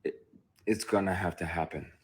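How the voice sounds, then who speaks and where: Deep husky man telling it blunt. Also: steady tone, normal speed. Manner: blunt, profane, emphatic. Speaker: actor. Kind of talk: candid personal storytelling.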